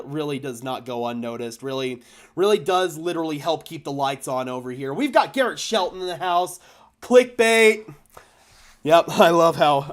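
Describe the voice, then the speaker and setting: A young man, slightly hoarse, hosting a live stream about his football team, chatting casually and thanking donors with bursts of excitement. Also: mid-range voice. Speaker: fan channel host. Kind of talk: live stream chat reacting to donations.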